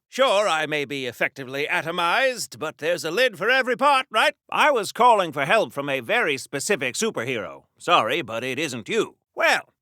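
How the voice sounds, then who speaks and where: A man's voice: on the high side, sharp tone, dry recording, clean studio sound. An actor playing an earnest, boastful, chattering American superhero man from the 1940s, in theatrical old-time radio serial style for a video game. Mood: breezy, cocky, then hopeful, chatty. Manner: wholesome old-time slang, theatrical, rambling.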